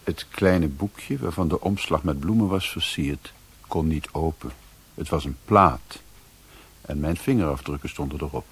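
An actor playing a reflective adventurer who narrates his journey in a radio play, in measured, sober, literary phrases. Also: rumbling low, husky, exaggerated voice. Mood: sober, observant. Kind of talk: scripted first-person radio play narration. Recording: hissy old radio play recording.

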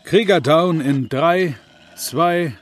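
A man's voice: fairly high pitch, extremely slow. A man voicing a sarcastic, grumpy male druid in a parody audio drama, with a dry, mocking way of talking.

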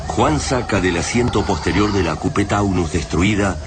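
A man's voice: low-pitched, moderate pace, background noise. A man narrating a radio drama in a dramatic, scripted style.

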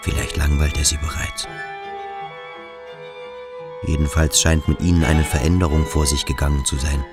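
The brooding thoughts of a conflicted young pupil in a radio play. The pitch is extremely low and the delivery urgent and introspective, with soft music underneath. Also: male voice, little pitch movement, moderate pace, long pauses. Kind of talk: radio drama inner monologue.

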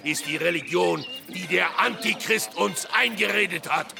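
A fiery preacher of the outcasts in a radio play. His high voice is heavily cracked with gravel as he declaims. Music sounds clearly behind him. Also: measured pace, exaggerated voice. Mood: fervent. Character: fiery male radical preacher championing outcasts. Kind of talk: German radio drama acting.

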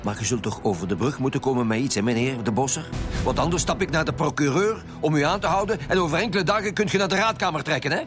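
An actor playing an impatient, sardonic chief detective with a Flemish accent in a radio drama, gruff and pressing. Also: male voice, on the high side, fast, over music, lightly acted. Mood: threatening, pressing. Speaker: lead police detective.

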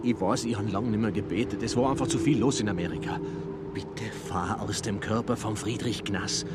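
An actor playing an anxious, folksy mountain-film director in a radio drama. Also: male voice, lowish voice, a little fast, heavy background noise, natural voice. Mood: pleading. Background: prominent music.

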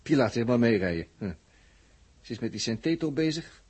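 A man's voice: mid-range voice, animated, a little fast, a little mellow, slightly rough. An actor in a 1960s Dutch radio drama playing a hungry, talkative crewman who rambles and exclaims colloquially. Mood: wry. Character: hungry, amused, talkative male crewman watching others.

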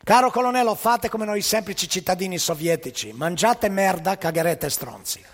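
Man doing a sly cheeky cavalry soldier, loud and mocking, raspy gravelly voice, echoey hall. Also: high-pitched, expressive, moderate pace. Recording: live stage show with long hall reverb.

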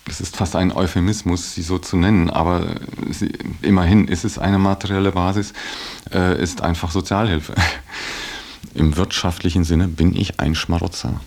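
A middle-aged man speaking reflectively about himself, halting and thoughtful, often adding small tag words. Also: low-pitched, steady tone, vocal fry, darkish tone. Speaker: interviewee in a radio feature. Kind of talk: reflective personal account in conversation.

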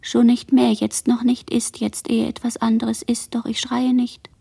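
A radio play monologue by a dazed girl shipwreck survivor. The voice is high and husky with a fry in it. Words come in halting, broken chains, as if barely conscious. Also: completely flat delivery, dark tone, exaggerated voice. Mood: numb, drifting. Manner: fragmented, halting word chains.

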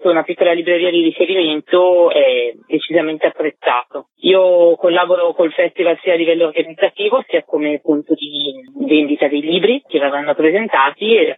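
A woman's voice: on the low side, no room sound. A woman giving a radio interview over the phone as a festival organiser. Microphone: telephone. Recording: narrow, tinny phone line.

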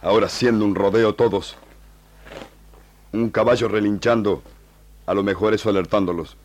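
A man's voice: fairly low pitch, steady tone, moderate pace, natural voice. A man playing a grave, proud native chief who speaks in broken phrases in an old radio serial. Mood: grave, resolute.

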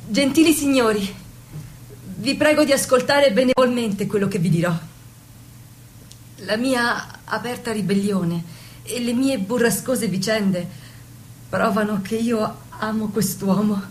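Faintly noisy recording, actress as devoted young noble bride, formal measured delivery, stage-style radio drama, medium pitch. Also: female voice, lightly acted.

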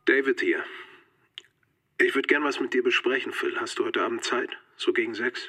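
A man's voice: mid-range voice, normal speed, bright, forceful tone. A male actor playing an uneasy, well-mannered man in a crime radio drama, talking hesitantly and earnestly. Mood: guarded, uneasy. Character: David Sullivan, uneasy, well-mannered man confessing an affair.

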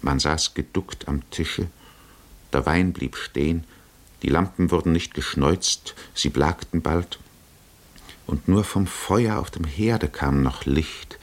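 An actor playing a solemn epic storyteller, narrating in a measured, poetic way in a 1950s radio drama. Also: male voice, a bass voice, heavily acted. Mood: solemn, spellbound.

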